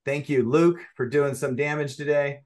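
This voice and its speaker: A man hosting a podcast interview, relaxed and encouraging, asking short follow-up questions. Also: fairly high pitch, moderate pace. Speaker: podcast host.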